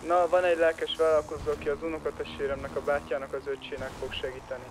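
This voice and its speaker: Guy in game chat, kinda high but flat voice, loud engine drone behind. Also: noisy background. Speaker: player in an online roleplay game.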